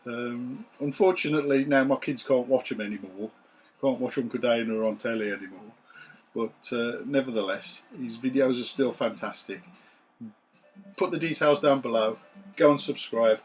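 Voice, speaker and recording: A man, his voice a bit rough from recovering after losing it, chatting to camera in a relaxed, rambling way with many ums, recorded on a camera's built-in mic in a small room. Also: mid-range voice, moderate pace, soft background music, poor audio. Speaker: retro games collector vlogger. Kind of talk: casual chat to camera. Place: small room full of retro computers.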